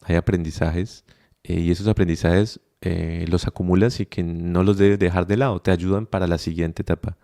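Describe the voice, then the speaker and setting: A young adult man with a Colombian accent, interviewed on a podcast. His low voice has a gravelly edge and a dark, mellow color. He talks in a reflective, unhurried way, thinking aloud with fillers and restarts. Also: fairly even intonation. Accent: Colombian Spanish. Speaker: podcast guest, migrant. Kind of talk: conversational interview.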